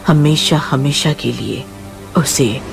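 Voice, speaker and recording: A woman's voice: extremely low pitch, slow, creaky, faint music behind. A woman reading scripted horror story narration in a dramatic, suspenseful manner.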